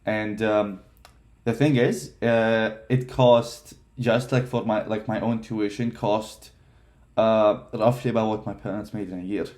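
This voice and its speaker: Young man in his twenties, lowish voice, halting personal vlog talk full of ums. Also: fairly even intonation, a little mellow. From Palestine. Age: 20s. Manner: hesitant, many fillers and restarts. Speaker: vlogger. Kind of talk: casual personal storytelling.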